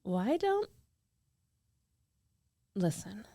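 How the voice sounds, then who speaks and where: Creaky, intimate lovestruck roommate, acted by a woman. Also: mid-range voice, sing-song, dark tone, dry recording, exaggerated voice. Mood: tired, soothing. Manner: hesitant, emotional, intimate delivery with trailing pauses. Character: jealous lovestruck young female roommate, guarded yet tender. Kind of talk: girlfriend ASMR roleplay, intimate monologue.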